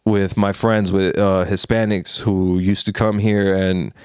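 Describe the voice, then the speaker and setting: A man's voice: low-pitched, flat delivery, measured pace, clean tone, no echo, clean studio sound. A man in his thirties co-hosting a podcast, giving his opinions in a rambling, earnest way with many fillers and restarts. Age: 30s. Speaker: podcast co-host. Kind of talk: casual opinion chat.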